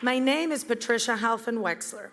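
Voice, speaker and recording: A woman, giving a prepared campaign speech in an earnest, measured way. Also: fairly high pitch, heavy background noise. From Venezuela. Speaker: campaign event speaker. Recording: reverberant hall with crowd.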